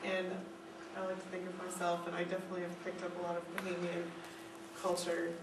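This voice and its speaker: A woman's voice: on the low side, completely flat delivery, quick pace. Thoughtful woman from Ohio. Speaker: student. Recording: echoey room from far off, noise.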